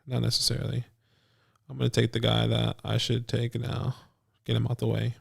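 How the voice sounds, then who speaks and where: A man's voice: mid-range voice, monotone, moderate pace, creaky, husky, dry recording, studio quality. A man in his late twenties co-hosting a livestream in casual, conversational chat. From lives in Australia. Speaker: co-host of a fantasy football show.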